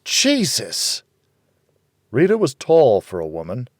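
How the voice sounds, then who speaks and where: A man's voice: mid-range voice, wildly swooping pitch, slow, no room sound, studio quality. A man narrating a hardboiled noir audiobook in the first person, wry and world-weary.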